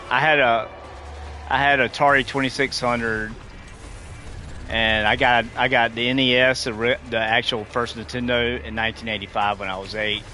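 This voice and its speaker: A man's voice: medium pitch, pushed and bright. A man in his forties chatting casually with his viewers while gaming, rambling and restarting his sentences. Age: 40s. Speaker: livestreamer talking to chat. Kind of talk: casual chat while playing.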